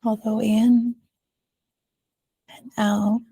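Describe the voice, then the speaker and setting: A woman leading a guided meditation in a soothing manner, pausing often, with soft phrase endings. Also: on the high side, level tone, creaky, breathy edge, rough recording. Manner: slow, soothing delivery with long pauses.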